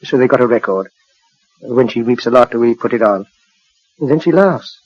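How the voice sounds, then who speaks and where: An actor playing a genial, courteous British asylum doctor, faintly patronising, in 1940s radio acting style. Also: male voice, medium pitch, animated, normal speed, no echo, natural voice. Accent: British English. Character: genial, matter-of-fact male asylum doctor. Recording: dull, lo-fi 1940s radio recording.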